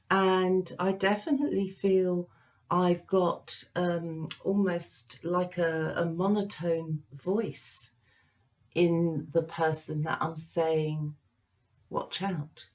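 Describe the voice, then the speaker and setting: A woman working as a psychic medium, giving a tarot reading to viewers in a gentle, reassuring way, pausing often with ums. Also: fairly low pitch. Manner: slow, halting, with many ums and pauses.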